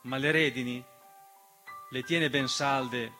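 A man's voice: mid-range voice, steady tone, slow. A man delivering an emphatic, dramatic theatrical monologue as narrator, with rhetorical questions and refrains. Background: piano accompaniment.

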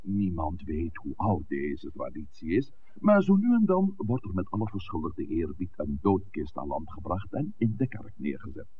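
An erudite, wry village priest, with a very low voice that moves expressively up and down. He lectures in measured, articulate phrases, on a muffled old radio drama recording. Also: a little hiss or hum. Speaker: actor in a radio play. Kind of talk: 1960s Dutch radio drama acting.

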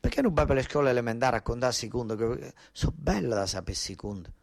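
A man's voice: mid-range voice, expressive, quick pace, vocal fry, no echo, clean studio sound. A man voicing a simple southern Italian mother in a stage monologue, chatty, naive and colloquial. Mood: admiring, wistful.

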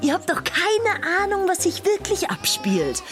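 A woman's voice: extremely high pitch, huge pitch swings, a little slow, a bit bright, heavily acted. An actress playing an irritable, defensive woman in a naturalistic radio play, brusque and exasperated in her way of talking.

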